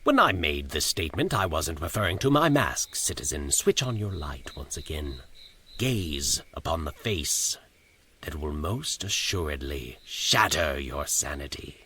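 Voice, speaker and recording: A man's voice: rumbling low, big pitch swings, sharp tone, breathy edge, heavily acted. A man voicing a gleeful, menacing masked demon in human guise with a courtly, theatrical manner, in a horror story. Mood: firm, menacing. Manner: courtly, theatrical, drawn-out delivery.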